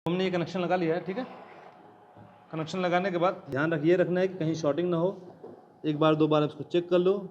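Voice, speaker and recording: Guy w a high voice walking thru a repair job, asks himself stuff then answers it, kinda muffled audio. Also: fairly even intonation, fairly quick. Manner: explains step by step, asks and answers his own questions. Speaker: automotive electronics trainer. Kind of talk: hands-on technical tutorial. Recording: slightly dull recording, faint background noise.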